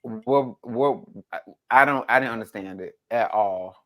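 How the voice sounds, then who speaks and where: A man's voice: mid-range voice, measured pace, fairly bright tone. A man chatting casually as a podcast panelist, slangy and given to swearing. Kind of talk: casual group chat recapping a TV show.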